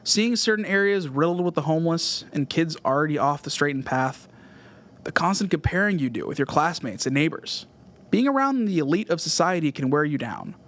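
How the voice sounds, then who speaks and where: A man with a slightly high voice hosts a podcast on his own. He delivers a scripted music essay in earnest, written-out phrases. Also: lively intonation, fairly quick, a bit bright. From Southern California, USA. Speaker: podcast host.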